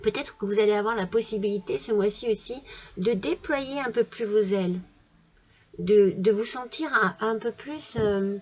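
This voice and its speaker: A French-accented woman reads tarot cards with a cold, in a gentle, soothing tone, stopping often on fillers and restarts. Her voice sits at an ordinary middle pitch. The sound is a bit dull and lo-fi. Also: moderate pace. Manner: hesitant, many fillers and restarts. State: has a cold. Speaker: tarot card reader. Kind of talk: casual tarot reading monologue.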